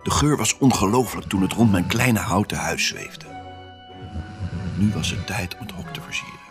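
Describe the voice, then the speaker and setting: A male actor voicing an excitable pet dog who tells his own Christmas adventure in an expressive, theatrical family audio drama style. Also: on the low side, moderate pace, music in the background. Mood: playful, secretive. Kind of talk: first-person storytelling in a family audio drama.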